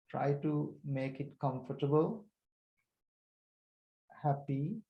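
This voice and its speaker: A man leading a guided breathing meditation as a teacher, speaking calmly and deliberately in short phrases with pauses. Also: fairly high pitch, muffled recording. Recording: roomy echo.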